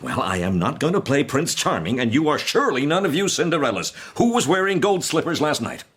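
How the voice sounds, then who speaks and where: A man's voice: fairly high pitch, big pitch swings, fairly quick, fairly bright tone, husky, full character voice. An actor playing a stern, indignant middle-aged upper-class father, commanding and formal in a period detective radio drama. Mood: stern, pressing.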